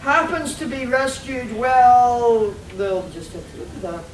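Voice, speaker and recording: A woman's voice: a little high, expressive, unhurried. A woman narrating a scripted radio play in a theatrical storytelling manner. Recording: echoey hall, distant mic, noisy.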